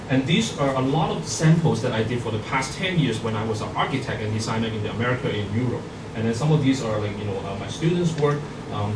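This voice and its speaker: A man's voice: mid-range voice, background noise. Middle-aged guy from hong kong giving a talk, lots of ums and restarts, roomy hall, mic far off. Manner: halting, many fillers and restarts. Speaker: guest speaker. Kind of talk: workshop presentation. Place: conference hall.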